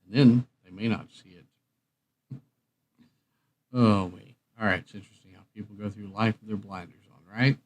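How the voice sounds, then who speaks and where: A man with a slightly low voice hosts a casual livestream. He rambles along in an informal way, thinking aloud as he sorts things out and talks to his viewers. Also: steady tone, moderate pace, pauses often. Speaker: livestream host.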